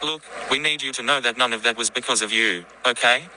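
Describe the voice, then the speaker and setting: A polite, loyal male companion in an audio drama, played by an amateur actor. His mid-range voice is very bright and reassuring, with faint noise behind it. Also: medium pitch, brisk, low-quality recording.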